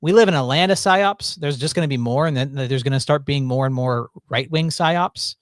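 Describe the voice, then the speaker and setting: A man's voice: medium pitch, moderate pace, no room sound, clean studio sound. A man hosting a casual chat, rambling with stops and restarts and a joking tone. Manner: rambling, self-interrupting, jokey. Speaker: podcast host.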